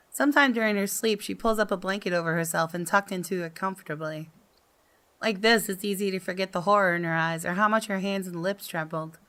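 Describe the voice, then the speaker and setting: A woman who hosts gaming videos, reading visual novel narration aloud in an expressive storytelling manner. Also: lowish voice, smooth.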